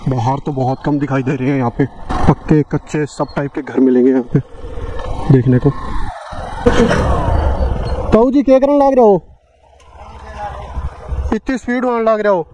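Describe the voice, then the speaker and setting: A man giving a relaxed, casual running commentary while riding a motorcycle on rural roads. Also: fairly high pitch, moderate pace, long pauses. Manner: chatty, relaxed, friendly with locals. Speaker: motorcycle travel vlogger. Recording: muffled mic under loud road and wind noise.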